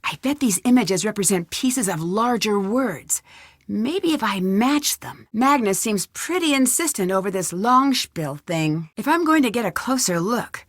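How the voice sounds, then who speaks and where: An actress voicing a curious, plucky young woman detective in a video game, thinking aloud to herself in clearly enunciated lines. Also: medium pitch, animated, measured pace, no echo, clean studio sound. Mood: curious, focused.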